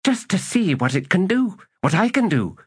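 A man's voice: mid-range voice, sing-song, slow pace, husky, no room sound, clean studio sound, voice pushed up, full character voice. A man voicing a small, fierce, defiant girl in an audiobook, speaking with intense vehemence. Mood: vehement, defiant. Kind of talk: audiobook character dialogue.

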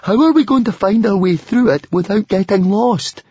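A man voicing an anxious, grumbling boy in a storybook, squeaky and easily panicked. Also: takes it slowly, creaky, no echo, dull audio, heavily acted. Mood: grumbling, worried. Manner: squeaky, howling, stammering.

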